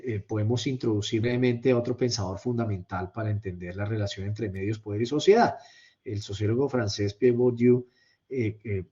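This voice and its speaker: A man's voice: mid-range voice, mellow. A man giving a university lecture over slides in a hesitant, explanatory way, often repeating words and checking in with tag questions. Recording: slightly dull, lo-fi microphone sound.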